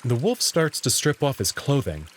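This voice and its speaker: A man reading scripted, expressive first-person narration as the narrator of a visual novel. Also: medium pitch, moderate pace, a little hiss or hum.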